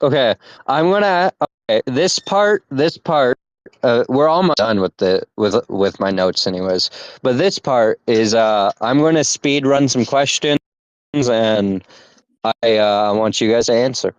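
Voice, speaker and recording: A man co-hosting a casual podcast, talking in halting bursts with many fillers and restarts. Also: medium pitch, lively intonation, smooth, no echo, highs cut off. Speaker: podcast co-host.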